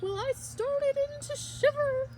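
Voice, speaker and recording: A woman reading a children's story aloud expressively, with dramatic emphasis and drawn-out words, like a librarian at story time. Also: extremely high pitch, a little mellow.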